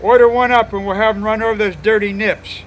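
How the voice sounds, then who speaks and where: A man playing a gruff WWII Marine officer barking orders in a radio-play battle scene. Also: high-pitched, fairly even intonation, fairly quick, slight background noise, lightly acted, rough recording. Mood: commanding. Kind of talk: 1940s radio acting.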